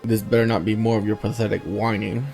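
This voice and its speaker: An actor playing a menacing, contemptuous undead lich commander in a fantasy game, with a cold, threatening delivery. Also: male voice, on the low side, little pitch movement, fast talker, vocal fry, darkish tone. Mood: scornful. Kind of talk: voice-acted fantasy game dialogue. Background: music.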